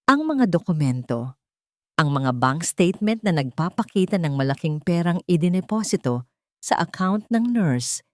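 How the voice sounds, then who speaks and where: A woman's voice: on the low side, sing-song, normal speed, no room sound, clean studio sound. A woman with a Filipino accent reading a dramatic scripted story as an audiobook narrator.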